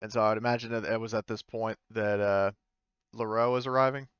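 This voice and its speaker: Casting: easygoing male game master, middle pitch, clean voice, close dry sound. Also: fairly even intonation, fairly bright tone, clean tone, no room sound, muffled recording. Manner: halting, informal, easygoing. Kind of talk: casual improvised tabletop game narration.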